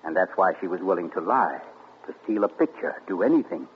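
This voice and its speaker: An actor playing a suave, gloating male amateur sleuth in 1940s radio acting, theatrical and deliberate. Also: low-pitched, expressive, highs cut off. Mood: smug. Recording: scratchy 1940s radio broadcast.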